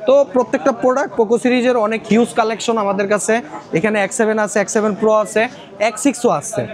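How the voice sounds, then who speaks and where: A man with a Bangladeshi accent pitching phones to viewers in a shop, rattling off models and prices and repeating them. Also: fairly even intonation, quick pace. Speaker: phone shop seller presenting stock and prices. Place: electronics shop in a busy shopping complex. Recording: busy shopping mall crowd behind voice. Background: crowd chatter.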